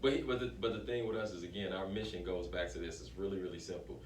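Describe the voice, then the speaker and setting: A man's voice: sits low, steady tone, quick pace. A man being interviewed as a business owner, talking casually and promotionally in an informal, emphatic way with repeated phrases. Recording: echoey room, distant mic, faint noise.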